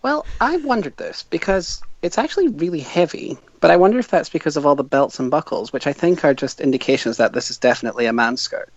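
A man's voice: a little high, animated, quick pace, few pauses, dry recording. A young man with a Scottish accent, a guest on a podcast, chatting in a good-humored, self-deprecating way. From South Africa. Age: young adult. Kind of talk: casual chat. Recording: dry, dull-sounding mic.

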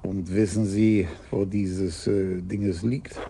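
An actor playing a shrewd, easygoing older police commissioner who talks in a slow, wry, unhurried way. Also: male voice, sits low, level tone, creaky, mellow, husky, full character voice. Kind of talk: radio drama acting.

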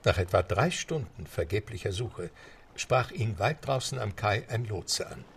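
A man narrating a radio play with a rather low voice. His storytelling is steady and measured, with background noise audible under the narration. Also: creaky.

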